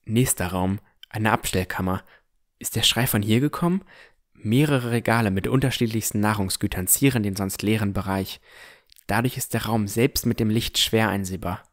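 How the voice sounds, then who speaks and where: Man playing a low-voiced, introspective young fantasy swordsman. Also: normal speed, lightly acted. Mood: curious, narrating. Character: young armored male swordsman hero, puzzled but determined. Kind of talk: first-person narration in a fantasy audio drama. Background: music.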